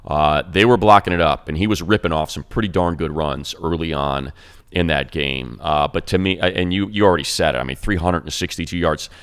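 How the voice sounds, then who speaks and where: Male sports radio commentator with a very deep bass voice, casual and emphatic. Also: brisk, fairly bright tone, smooth.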